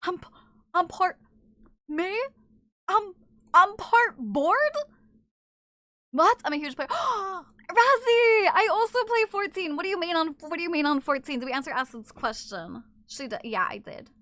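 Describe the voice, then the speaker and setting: A woman streamer chatting casually with her viewers in an animated, teasing way. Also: extremely high pitch, measured pace, dry recording, studio quality. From not from Japan. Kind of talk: casual live-stream chat.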